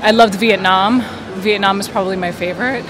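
A woman from Greece, interviewed on a city street, chatting casually and thoughtfully with many pauses and fillers. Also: mid-range voice, crowd noise. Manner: relaxed, reflective, many fillers. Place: busy city street outdoors. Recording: loud street noise.

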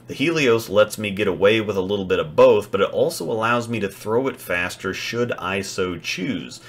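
Low-voiced man explaining bowling technique. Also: fairly bright tone. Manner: matter-of-fact, analytical. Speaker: bowling instructor. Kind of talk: scripted instructional voice-over.

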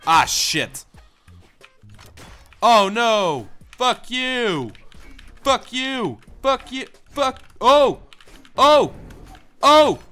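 A young man giving casual gameplay commentary, stammering and swearing, swinging between low mumbles and excited yelling. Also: very slow, pauses often, clear, resonant tone, faint music behind. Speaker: gaming channel host.

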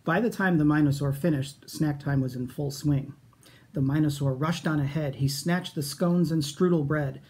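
A man's voice: fairly high pitch, normal speed, darkish tone, slight background noise. A middle-aged American man reading a picture book expressively to children at a storytime. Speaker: school storytime reader. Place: small room at home, indoors.

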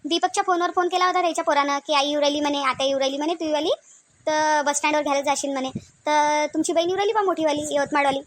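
A woman plays a middle-aged cartoon village wife with a sharp tongue and a Varhadi accent from Vidarbha. The voice is extremely high and the words come quickly. She nags and grumbles, piling up scornful rhetorical questions. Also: steady tone, lightly acted. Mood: complaining. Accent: Varhadi Marathi of Vidarbha. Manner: complaining, scolding, rhetorical questions. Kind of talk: comic cartoon dialogue.

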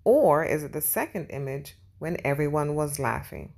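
A woman narrating a children's storybook and teaching young viewers in an animated, instructive way. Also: deep voice, moderate pace, gravelly. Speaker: children's read-aloud host.

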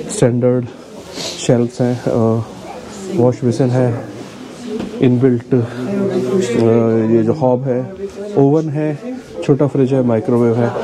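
A man's voice: mid-range voice, rough recording. Chatty man giving a walking tour. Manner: chatty, explains while walking, mixes Hindi and English. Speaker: travel vlogger. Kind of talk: casual walking tour narration. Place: outdoor plaza, then small indoor rooms. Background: crowd of visitors.

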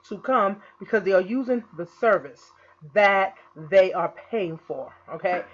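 A woman in her early thirties, an online business coach, giving a casual recruiting pitch to camera, repeatedly checking in with "okay?" and "guys". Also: highs cut off. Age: 30s. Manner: repetitive, keeps saying "okay?" and "guys". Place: indoor room. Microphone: laptop webcam in screen recording.

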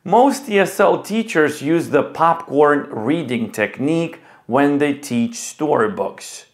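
A middle-aged man speaking as an English teacher, with deliberate, clearly enunciated delivery. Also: a little high, expressive. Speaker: ESL teacher and video host. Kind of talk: presenting to camera and leading a children's class.

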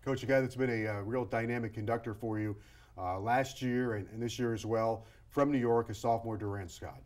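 A man hosting a college sports TV show, introducing topics and questioning the coach in a slow, deliberate delivery. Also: medium pitch, steady tone, darkish tone. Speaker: TV show host. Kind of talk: studio sports interview. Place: TV studio set. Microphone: lapel mic.

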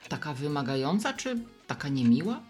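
Radio play barkeeper, played by an actress: middle-aged woman, deep register, animated delivery, wise and gently teasing. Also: brisk, soft background music. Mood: gently reflective. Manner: conversational, gently probing and teasing.

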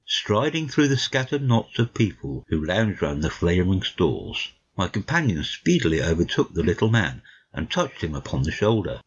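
Deep, husky male story narrator. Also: big pitch swings, normal speed, few pauses, highs cut off. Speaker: audiobook narrator.

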